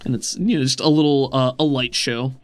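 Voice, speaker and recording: A man's voice: mid-range voice, fairly even intonation, fairly quick, dry recording. A man working as game master, narrating a tabletop roleplaying scene in a conversational, descriptive way. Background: music.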